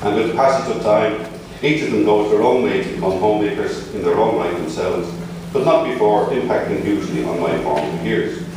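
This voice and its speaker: An Irish man reading his own memoir piece aloud at a book launch, measured with dry asides. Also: fairly low pitch. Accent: Irish, not from Limerick. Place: large echoey museum hall at a book launch. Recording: echoey hall, voice from far off, noisy.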